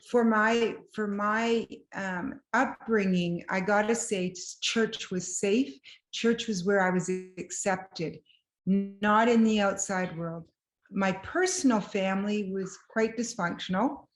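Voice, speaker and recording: Earnest reflective woman, echoey room. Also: mid-range voice. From Belgium. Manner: earnest, reflective, varied pace. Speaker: guest, marriage counselor. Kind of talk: personal testimony interview.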